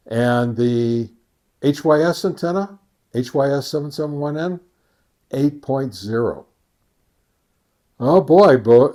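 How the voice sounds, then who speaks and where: A man presents test results as a reviewer in a casual, conversational style. He speaks very slowly at a middling pitch, often halting to think aloud. Folksy asides break up his delivery. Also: mellow. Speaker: amateur radio gear reviewer and host. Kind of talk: casual product test summary.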